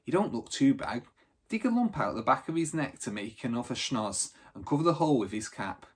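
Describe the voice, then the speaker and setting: A man's voice: mid-range voice, very animated, brisk, husky. A man voicing an earnest, practical street boy from a storybook gang in a colloquial, matter-of-fact way.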